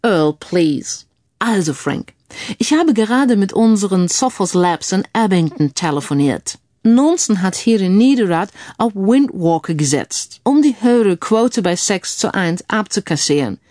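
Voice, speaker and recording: Woman playing a suave, wry British gentleman, dry close sound. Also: mid-range voice, animated, measured pace, no room sound, lightly acted. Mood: wry, explaining. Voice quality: sprinkles English phrases into speech.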